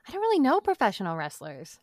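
A woman's voice: medium pitch, wildly swooping pitch, fast talker, dry recording. Chatty woman, dry close sound. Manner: chatty, hesitant with many fillers. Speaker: podcast guest. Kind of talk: casual chat.

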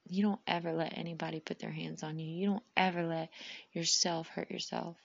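A woman hosting a podcast, talking in a rambling, heartfelt way and often dropping to a soft whisper. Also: lowish voice, little pitch movement, a little fast, gravelly, no echo, muffled recording. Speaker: podcast host. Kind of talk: casual reflective monologue.

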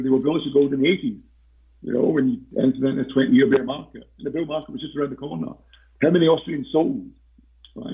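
Croaky man on a tinny line. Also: mid-range voice. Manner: hesitant, many fillers and restarts. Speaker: podcast guest, investment researcher. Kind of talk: casual interview talk.

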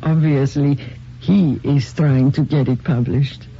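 An actor playing a cryptic, ominous man who speaks in deliberate, knowing pronouncements in a radio drama. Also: medium pitch, fairly even intonation, gravelly, mellow, husky, full character voice. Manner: deliberate, mysterious. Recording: muffled, noisy old radio drama recording.

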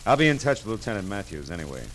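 A hard-boiled American private detective from a vintage radio drama. His voice sits low, with a dry, clipped, wisecracking delivery that moves between narration and brisk questioning. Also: very animated, a bit bright, a little hiss or hum. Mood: businesslike. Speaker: lead actor and first-person narrator. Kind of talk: 1940s-50s radio detective acting.

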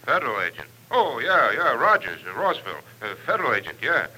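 An actor playing a brisk, blustery city police sergeant taking a phone call in a 1940s radio drama. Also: male voice, medium pitch, huge pitch swings, sharp tone, breathy edge, heavily acted.

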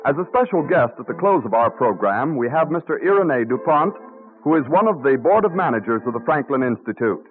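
A man's voice: mid-range voice, big pitch swings. Tinny 1930s radio announcer, American man. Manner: formal, measured, stately delivery. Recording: tinny band-limited old radio broadcast, music.

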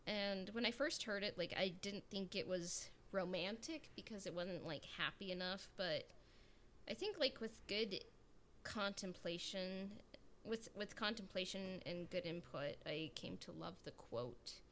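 A woman co-hosting a podcast in a reflective, casual way, haltingly searching for her words. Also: mid-range voice, a bit bright, slight background noise.

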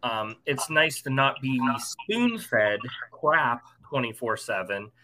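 Bright-voiced American man, chatting casually. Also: medium pitch, sing-song, slow. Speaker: musician interviewed as guest. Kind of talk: casual conversational interview.

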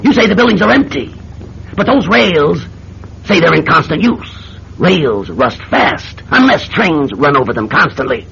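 A man's voice: on the high side, big pitch swings, measured pace, vocal fry, pushed and bright, exaggerated voice. A man acting a shrewd, persistent middle-aged senator and old pilot in a radio drama, questioning insistently in a folksy, hesitant way. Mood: pressing. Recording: dull, noisy vintage radio recording.

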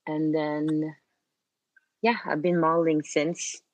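A woman in her late twenties from Martinique, French her first language, speaking in a relaxed interview with frequent fillers. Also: low-pitched, slow pace, dry recording, low-quality recording. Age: late 20s. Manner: relaxed, hesitant with fillers, laughs often. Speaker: guest, fashion model. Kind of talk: interview conversation.

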